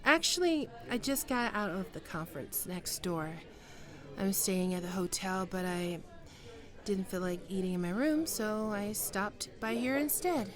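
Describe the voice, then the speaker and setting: Woman acting a slow, hesitant, wistful ex-lover. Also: mid-range voice, lively intonation, a crowd in the background, close to an everyday voice. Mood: wistful, uneasy. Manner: hesitant, halting, with sighs and trailing off. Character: flustered, wistful, proud writer meeting her former lover. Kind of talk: intimate ASMR roleplay acting.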